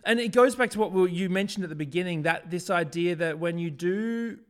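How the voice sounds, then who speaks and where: An Australian man with a distinctly high voice for a male speaker. He talks casually and reflectively, sharing anecdotes. He is emphatic, building each point phrase by broken phrase. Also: a little fast, clean tone.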